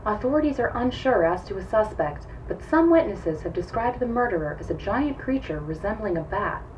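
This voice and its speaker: A woman's voice: mid-range voice, animated, a little fast, a little mellow, a little hiss or hum, lightly acted. A woman playing a serious news reporter, delivering a scripted report in a formal, grave manner. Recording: dull, distant lo-fi broadcast recording. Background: music.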